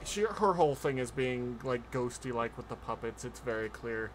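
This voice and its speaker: A man's voice: mid-range voice, animated, normal speed, faint music behind. A man streaming casual reaction commentary on a game trailer.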